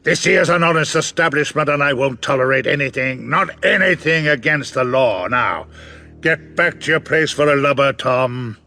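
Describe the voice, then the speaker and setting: Audio drama role: crafty, jovial old sea cook, gravelly and bright, salty dialect. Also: male voice, mid-range voice, normal speed. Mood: stern, blustering. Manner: hearty, salty seafarer's dialect. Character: jovial, crafty one-legged old sailor and innkeeper. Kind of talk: dramatised audio adventure acting.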